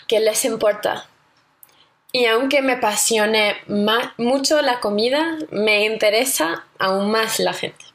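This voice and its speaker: A young woman from Connecticut with an American accent, hosting a radio series in a careful, measured, scripted way with small self-corrections. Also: a little high, a bit bright. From Connecticut, United States.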